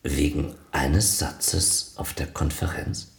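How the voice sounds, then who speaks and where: Casting: hesitant, obliging culture administrator in radio drama, creaky voice, slow delivery. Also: male voice, very deep voice, steady tone, slight background noise, full character voice. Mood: polite, halting. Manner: halting, polite, deferential. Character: hesitant, eager-to-please arts foundation official and hobby painter. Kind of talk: radio play acting.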